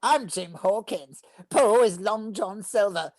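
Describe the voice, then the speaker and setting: A woman voicing an excitable, earnest young bug child who plays pirates, in an animation table read. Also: mid-range voice, slow pace, dry recording, studio quality. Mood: playful.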